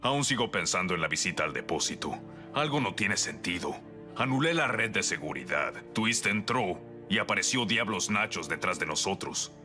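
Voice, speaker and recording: A man's voice: low voice, big pitch swings, moderate pace, over music. A man voicing a big, friendly, easygoing young cave troll in a cartoon dub. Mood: easygoing, distracted. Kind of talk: animated cartoon dub acting.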